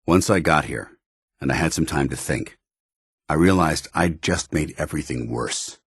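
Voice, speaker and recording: An actor playing a stoic, honour-bound android soldier in a video game, tormented yet earnest, with formal military diction. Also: male voice, rumbling low, normal speed, slightly rough, no echo, clean studio sound. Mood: grave, resigned. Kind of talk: video game companion dialogue acting.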